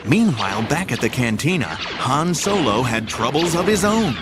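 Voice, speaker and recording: A man's voice: medium pitch, very animated, moderate pace, very noisy. A man narrating a scripted adventure drama in a theatrical, suspenseful way, with music behind him.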